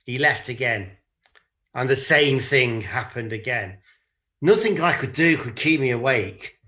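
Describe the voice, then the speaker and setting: A man's voice: mid-range voice, lively intonation, unhurried, pushed and bright. A man playing a tired, defensive disciple who recounts a night in a hesitant, colloquial, confessional monologue. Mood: rueful, tired. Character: weary disciple, sheepish, defensive, mildly resentful, recounting a night. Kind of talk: dramatic monologue in conversational modern speech.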